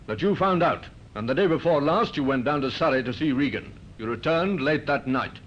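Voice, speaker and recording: An actor playing a firm, methodical police inspector with a measured, formal manner in a 1950s radio crime drama. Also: male voice, fairly high pitch, animated, a bit bright. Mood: stern, accusing. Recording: muffled, noisy 1950s radio recording.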